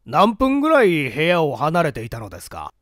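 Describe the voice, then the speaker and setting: A man playing a probing, lively police investigator. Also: fairly high pitch, moderate pace, no room sound, clean studio sound. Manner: formal, inquisitive questioning.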